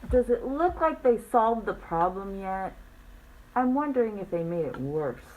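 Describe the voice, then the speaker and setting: A woman's voice: mid-range voice, animated, unhurried, a little mellow. A woman chatting to young children about a story, asking simple leading questions. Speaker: teacher commenting on story. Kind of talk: casual commentary to young children. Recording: clearly noisy background.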